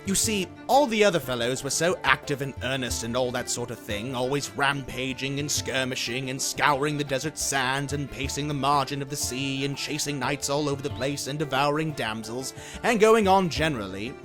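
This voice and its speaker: A man voicing a lazy, bashful gentleman dragon in a dramatized storybook, rambling on in genteel, eager, old-fashioned talk. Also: medium pitch, moderate pace, over music, close to an everyday voice. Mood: rambling storytelling. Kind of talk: dramatized storybook character acting.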